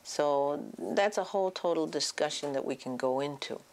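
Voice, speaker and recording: A woman presenting an informal educational medical talk as a doctor, explaining things in a halting way with frequent pauses. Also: low-pitched, darkish tone.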